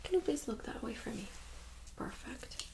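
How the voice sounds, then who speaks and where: Woman, 30s, hushed ASMR medical examiner, gently instructing, with a gravelly fry. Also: a little high, expressive, moderate pace, background noise. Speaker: ASMR performer playing a nurse examiner. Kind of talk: soft-spoken ASMR medical role-play. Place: small indoor room set up as exam room.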